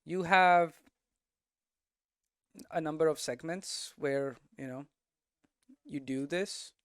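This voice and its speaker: A man with a smooth, clear voice pitched slightly high gives an informal explainer. He thinks aloud in a conversational way, tagging his points with little check-ins, and the sound is close and dry. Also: takes it slowly, dry recording. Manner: conversational, thinking aloud, frequent "you know" and "right?" tags. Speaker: game development streamer and teacher.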